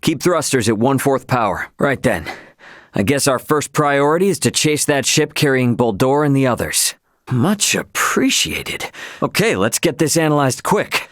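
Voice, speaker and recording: Young brash space captain for a video game, plainspoken and casual, midrange voice, super dry recording. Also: male voice, mid-range voice, very animated, moderate pace, fairly bright tone, dry recording. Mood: brisk, businesslike. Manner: casual, plainspoken delivery with easy slang.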